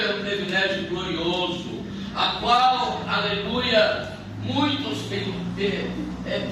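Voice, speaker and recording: A man's voice: high-pitched, distant mic. A man preaching an anniversary church sermon, joking at first, then building into emphatic preaching full of hallelujahs. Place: church hall. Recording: echoey church from afar with noise.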